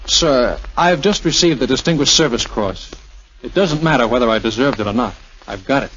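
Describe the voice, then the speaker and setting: A man's voice: medium pitch, expressive, normal speed, fairly bright tone, natural voice. Man acting breezy young flyboy major, old dull recording. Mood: earnest, respectful. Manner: smooth-talking, wry, joking. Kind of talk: 1940s radio drama acting. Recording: dull, hissy 1940s radio recording.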